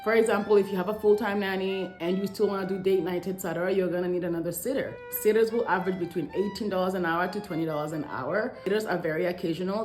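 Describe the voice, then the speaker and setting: Lady in her 30s from congo doing a chatty emphatic vlog, lowish voice, bit of room echo. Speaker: vlogger, stay-at-home mother. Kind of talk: scripted talk to camera in a lifestyle vlog.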